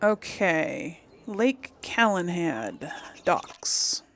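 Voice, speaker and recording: A woman talks her way through a video game, thinking aloud in a chatty ramble. Her pace is unhurried, while her pitch moves around a lot. She sounds easily amused and casual. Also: big pitch swings, a little hiss or hum. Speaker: gameplay host.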